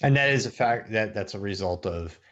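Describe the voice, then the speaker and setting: Low-voiced man on a podcast. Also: expressive, brisk, fairly bright tone, dull audio. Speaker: podcast panelist. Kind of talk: casual tech podcast discussion.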